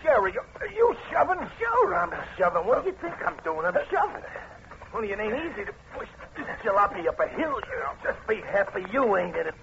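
Animated, high-pitched gangster henchman. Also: brisk, full character voice. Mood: callous griping. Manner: slangy, rough tough-guy talk. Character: excitable, talkative male gangster henchmen, crude and callous. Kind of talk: 1950s radio crime drama acting. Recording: dull, noisy old radio recording.

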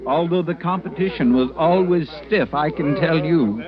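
An actor playing a timid, fussy, earnest store clerk in a 1940s radio drama. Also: male voice, a little high, normal speed, crowd noise, natural voice. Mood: self-satisfied, confiding. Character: timid, prim department-store drapery clerk narrating his cruise. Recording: muffled old radio recording with music behind.

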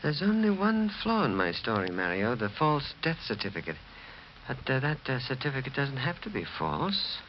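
A man's voice: mid-range voice, very animated, normal speed, lightly acted. A man acting a scheming, desperate murderer in a 1940s radio mystery, with a dramatic delivery. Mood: cold menace. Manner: dramatic, swinging from smooth lying to agitation. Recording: muffled, noisy 1940s radio recording.

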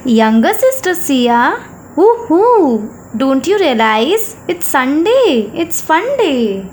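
Woman voicing an excited high-pitched little girl. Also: slow pace, a little hiss or hum, full character voice. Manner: bubbly, sing-song storybook delivery. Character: excited young girl, cheerful elder sister playing with dolls. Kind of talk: children's story dialogue.

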